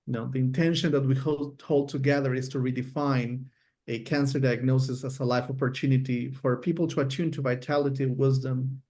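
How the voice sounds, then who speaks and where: A man's voice: medium pitch, a little mellow. A man from Peru with a Peruvian Spanish accent, co-hosting an online workshop and inviting his partner to speak. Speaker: workshop co-host.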